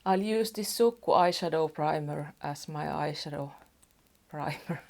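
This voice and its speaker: Slow-talking low-voiced Finnish woman. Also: creaky. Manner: soft-spoken, unhurried, rambling with small restarts. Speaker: beauty vlogger. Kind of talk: casual get-ready-with-me chat. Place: home apartment.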